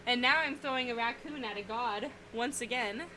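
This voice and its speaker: A woman's voice: a little high, moderate pace. A woman streamer chatting casually and laughing often while playing a game, swearing now and then. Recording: noisy home stream recording.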